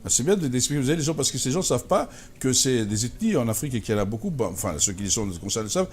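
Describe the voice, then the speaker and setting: A man's voice: medium pitch, very animated, racing, a little hiss or hum. A man hosting a talk show, interviewing his guest in a conversational way and readily chiming in with his own points.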